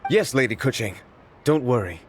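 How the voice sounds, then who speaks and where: A man's voice: mid-range voice, expressive, moderate pace, slight background noise, close to an everyday voice. A man playing an eager, deferential assistant to an official in a video game, polite and slightly flustered. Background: faint music.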